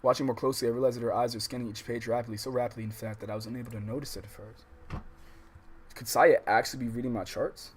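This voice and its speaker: An American man hosts a livestream let's-play in a mid-pitched voice. He reads visual novel narration aloud, stumbling here and there, and reacts in profane, casual slang. A little noise sits behind the voice. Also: fairly even intonation, fast. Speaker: livestream host.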